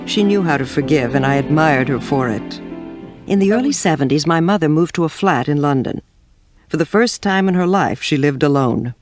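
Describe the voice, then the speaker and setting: A man calmly reminiscing about a parent in an interview, reflective and gentle. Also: a little high. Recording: music behind.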